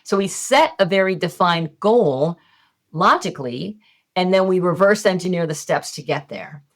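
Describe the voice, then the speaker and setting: Brief: middle-aged woman, low voice, candid podcast guest explaining ideas. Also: animated, moderate pace. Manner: casual and candid, occasional swearing. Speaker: guest, hypnotist and medium. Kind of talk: podcast interview, conversational teaching.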